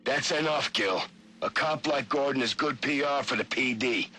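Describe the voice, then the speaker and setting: An actor playing a controlled crime boss in a video game, giving orders with measured authority. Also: male voice, medium pitch, pushed and bright. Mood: cold, commanding. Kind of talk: video game voice acting, audio log.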